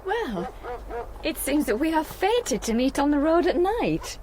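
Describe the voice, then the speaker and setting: A woman's voice: sits high, big pitch swings, unhurried, crowd noise. An actress playing a kindly, doting farm woman, chatty and solicitous in a radio drama. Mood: friendly. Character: kindly, doting woman farm owner, brisk but wistful.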